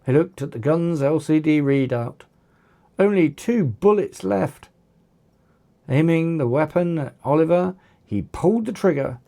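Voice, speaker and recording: Slow-paced narrator reading an adventure story. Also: mid-range voice, lively intonation, dark tone. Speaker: author reading own novel aloud. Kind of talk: scripted audiobook narration.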